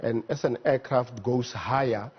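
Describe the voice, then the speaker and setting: A man with a South African accent explaining things methodically and haltingly as an expert guest in a studio interview. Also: medium pitch, husky, muffled recording. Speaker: air traffic management executive, interview guest. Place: TV news studio.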